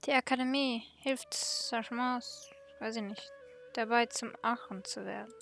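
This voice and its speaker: A woman's voice: a little high, fairly even intonation, moderate pace, vocal fry, a bit bright, soft background music. A woman gamer reading on-screen dialogue aloud and adding short comments of her own. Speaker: gaming video creator.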